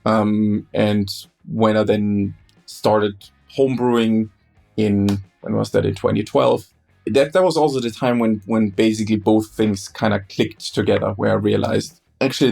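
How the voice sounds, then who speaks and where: A man from Austria, a German speaker, talking as a guest in a conversational interview, often halting and repeating words. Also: on the low side, little pitch movement, vocal fry, a little mellow, soft background music. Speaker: guest beer historian.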